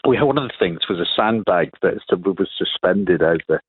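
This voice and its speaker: A man being interviewed on the radio, talking reflectively and haltingly, often rephrasing as he goes. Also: low voice, animated, husky. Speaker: interview guest, playwright. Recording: narrow, phone-like radio sound.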